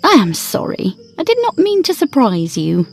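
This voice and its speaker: An actress playing a composed, wry and fearless wife in a period ghost story, measured, theatrical and dryly pointed. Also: female voice, high voice, wildly swooping pitch, creaky, soft background music, exaggerated voice. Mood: cool, pointed. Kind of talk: period ghost story audio drama acting.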